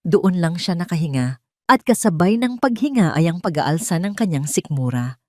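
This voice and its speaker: A woman narrating a dramatic story in a scripted storytelling style, slowing down and lifting her voice for tense moments. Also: fairly low pitch, lively intonation, a little mellow, no echo, clean studio sound.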